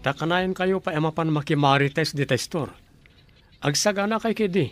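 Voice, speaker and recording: An actor playing an earnest, insistent young adult son in a radio drama, speaking with an Ilocano accent. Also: male voice, fairly high pitch, normal speed, faint music behind, natural voice.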